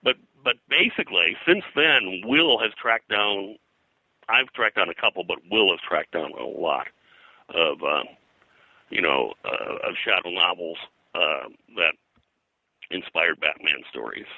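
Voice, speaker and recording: An older man speaking as an interview guest, reminiscing in a halting, digressive way and often clearing his throat. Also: deep voice, animated, bright, forceful tone, no room sound, low-quality recording. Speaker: guest, pulp and comics historian. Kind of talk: interview conversation, reminiscing about his work.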